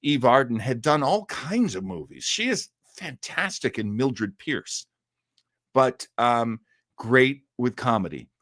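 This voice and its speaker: A man's voice: medium pitch, lively intonation, slow, a bit bright, no echo, studio quality. A middle-aged man hosting a live stream, chatting casually and reading viewer comments in a rambling, enthusiastic way with frequent hesitations. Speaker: live-stream host of an old-time radio show.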